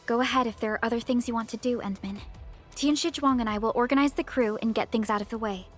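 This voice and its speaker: Casting: actress as earnest female game officer, breathy and fairly high, courteous. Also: fast, faint music behind, natural voice. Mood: serious, helpful. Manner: formal, articulate. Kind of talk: video game voice acting.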